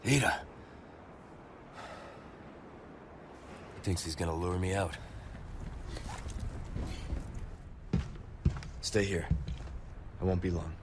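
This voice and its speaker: An actor playing a world-weary young action hero in a video game cutscene, speaking in a terse, dry, sardonic manner. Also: male voice, low voice, steady tone, a little fast, noisy background, close to an everyday voice. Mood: grim resolve. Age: young adult.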